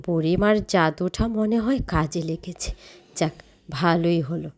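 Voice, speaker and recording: A woman's voice: lowish voice, moderate pace, natural voice. A woman voicing a middle-aged village mother in a cartoon, caring but admonishing. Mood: forgiving, relieved.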